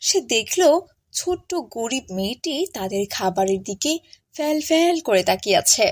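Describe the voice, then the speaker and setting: A woman's voice: fairly high pitch, lively intonation, moderate pace, no echo. A woman narrating a scripted cartoon story as its storyteller.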